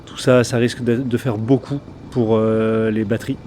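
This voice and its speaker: A man narrating as game master of a tabletop game, halting with many fillers and restarts. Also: medium pitch, little pitch movement, mellow, a little hiss or hum.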